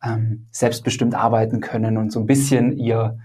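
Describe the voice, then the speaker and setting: A man's voice: lowish voice, level tone, moderate pace, warm tone, slightly rough. A man hosting his podcast, asking guests friendly, upbeat questions. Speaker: podcast host and app founder. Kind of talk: podcast interviewing.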